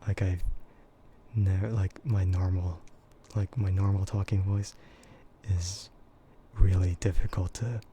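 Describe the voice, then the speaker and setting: A young man streaming, talking in a soft, half-whispered voice, hesitant and full of fillers and quiet laughs. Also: deep voice, level tone, measured pace, slight background noise. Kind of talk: soft-spoken ASMR chat with viewers.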